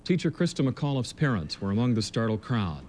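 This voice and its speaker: A man's voice: big pitch swings, a little fast, a little mellow. An American man anchoring a television news broadcast, reading scripted reports. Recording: old TV broadcast with faint rumbling noise.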